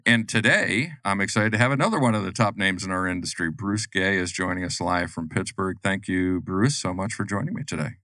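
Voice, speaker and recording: A man's voice: low-pitched, fairly quick, hardly any pauses, sharp tone, no room sound, clean studio sound. A middle-aged man hosting a business podcast, reading sponsor notes and introducing his guest in an upbeat, promotional way with frequent uhs. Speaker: podcast host.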